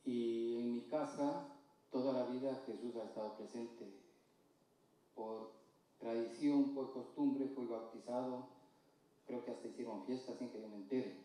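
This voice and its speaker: A man from Ecuador with an Ecuadorian Spanish accent, giving a slow, halting, earnest personal testimony in church. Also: mid-range voice, steady tone, dark tone. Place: church hall. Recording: distant mic in echoey church hall.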